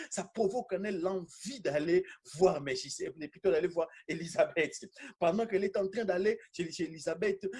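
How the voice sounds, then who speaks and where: High-pitched husky male preacher, very animated. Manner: emphatic, repeating phrases for effect. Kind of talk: animated charismatic teaching sermon.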